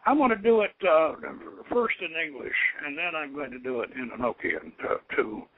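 A man leading a ritual as host, moving between informal instruction and solemn invocation in a halting, deliberate manner. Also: fairly high pitch, sing-song, a little hiss or hum. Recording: narrow tinny phone-like radio sound.

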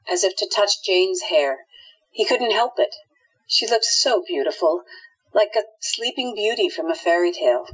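Husky woman narrating a radio play. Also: medium pitch, muffled recording.

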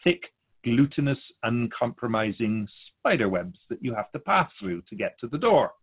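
A man playing a patient, lofty spirit guide who teaches in measured, formal phrases in a scripted audio drama. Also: medium pitch, big pitch swings, husky, narrow, tinny audio. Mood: patient, explanatory. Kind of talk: scripted dialogue in a fiction podcast.

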